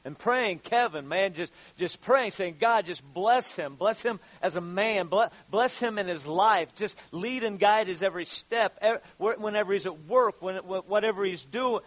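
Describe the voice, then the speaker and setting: High-voiced man in his fifties preaching. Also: a bit bright, no room sound. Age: 50s. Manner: halting, repeats words, rises to fervent exhortation. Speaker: pastor. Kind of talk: sermon.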